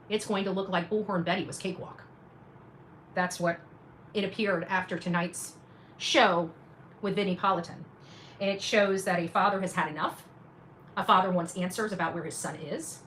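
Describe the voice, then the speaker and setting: A woman's voice: medium pitch, pushed and bright, low-quality recording. A woman doing casual livestream commentary to her viewers, speaking emphatically, repeating phrases for weight and adding sarcastic asides. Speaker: independent journalist and livestream host. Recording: clear background noise behind the voice.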